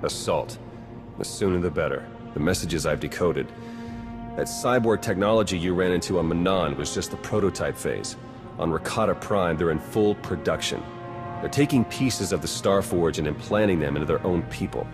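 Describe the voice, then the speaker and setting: A confident secret agent in a sci-fi game, with a very low voice. He is dry, casual and practical, with music playing behind him. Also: normal speed. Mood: determined briefing. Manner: casual, dry, matter-of-fact. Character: wry, confident male Republic spy, practical and brisk. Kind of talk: video game voice acting.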